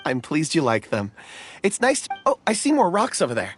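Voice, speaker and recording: A woman voicing a gentle, polite and earnest young woman in an anime game. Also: low voice, huge pitch swings, a little fast. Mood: pleased, eager. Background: faint background music.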